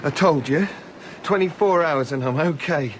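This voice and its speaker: An actor playing a frightened, highly strung male member of a polar expedition in an audio drama, agitated and emotive. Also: normal speed, noisy background, close to an everyday voice. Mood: shaken.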